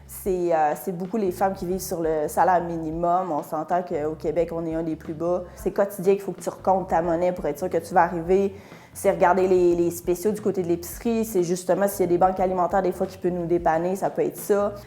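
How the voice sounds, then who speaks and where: A woman's voice: lowish voice, fairly even intonation, fairly quick, mellow. A woman feminist activist speaking plainly and earnestly about her daily life. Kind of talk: personal testimony.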